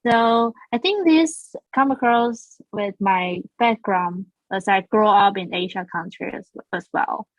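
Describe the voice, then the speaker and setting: A woman's voice: mid-range voice, slow, hardly any pauses, no room sound, low-quality recording. A woman from Taiwan, a school founder being interviewed, talking earnestly with frequent restarts. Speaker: guest, founder of a language school. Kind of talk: casual interview.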